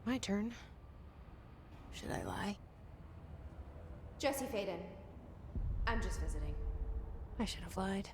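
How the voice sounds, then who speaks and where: An actress playing a guarded, wry young woman in a video game, speaking in low-key, introspective lines. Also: expressive, slow, pauses often, big-room sound, background noise. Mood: guarded, matter-of-fact. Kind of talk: video game acting, inner monologue and dialogue.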